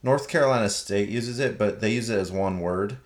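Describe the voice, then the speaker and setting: A man's voice: on the low side, a little fast. Chatty man with a slight Southern lilt. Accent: slight Southern American. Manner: chatty, explains research with many fillers. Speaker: podcast co-host. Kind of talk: casual sports chat.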